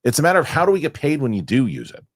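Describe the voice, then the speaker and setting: A man hosting a casual podcast chat, animated and rambling, often restarting his sentences. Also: fairly high pitch, rapid-fire, no room sound, clean studio sound. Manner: animated, rambling, self-correcting with repeated starts. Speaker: show host.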